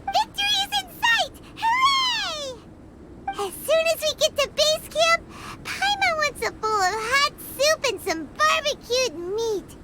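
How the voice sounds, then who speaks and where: A woman voicing a tiny childlike fairy companion in a video game, squeaky, excitable and caring. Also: a little slow, very noisy, full character voice. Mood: gleeful. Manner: exclamatory, refers to self in third person.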